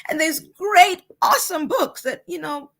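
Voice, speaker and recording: A woman's voice: very high-pitched, animated, measured pace, vocal fry, a bit bright. A woman speaking casually as a guest author in an interview, emphatic and encouraging, repeating phrases to drive points home. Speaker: guest author on a book talk show.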